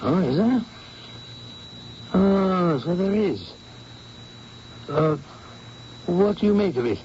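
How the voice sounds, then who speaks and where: A man's voice: high-pitched, expressive, slow pace, darkish tone. An actor playing a male artist friend in an old radio drama, breezy and chatty, a little evasive. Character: Max, male artist friend visiting from Paris. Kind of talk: 1970s radio drama acting. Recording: dull old radio broadcast, faint hiss.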